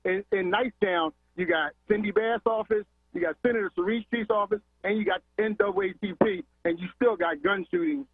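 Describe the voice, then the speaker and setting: A man's voice: sits high, fairly quick, hardly any pauses, husky, no room sound. A man from Philadelphia calling into a radio talk show, impassioned, repeating words and firing off rhetorical questions. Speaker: caller. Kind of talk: call-in radio rant. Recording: tinny phone line.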